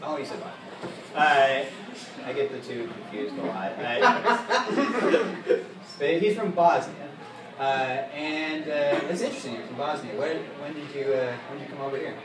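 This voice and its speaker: A man co-hosting a live comedy show, reading a mock news report in a halting, dry way full of "uh"s. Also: a little high, lively intonation, background noise. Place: comedy bar stage with live audience. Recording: echoey live room from far off, loud crowd.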